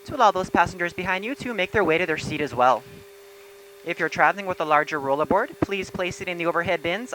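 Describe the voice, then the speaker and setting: Female flight attendant, rapid scripted welcome speech over a cabin PA, faint noise behind. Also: lowish voice, a bit bright, rough recording. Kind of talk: scripted inflight welcome announcement. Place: inside airliner cabin.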